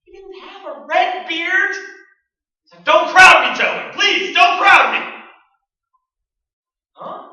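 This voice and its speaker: Unhinged radio reporter in a stage suspense play; very high, piercing, echoing from far off. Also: male voice, animated, takes it slowly, long pauses, bright, forceful tone, heavily acted. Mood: hysterical, angry. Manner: theatrical, addressing listeners and unseen company. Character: frantic male radio broadcaster losing his mind with fear. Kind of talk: 1940s suspense radio acting. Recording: echoey stage, distant microphone.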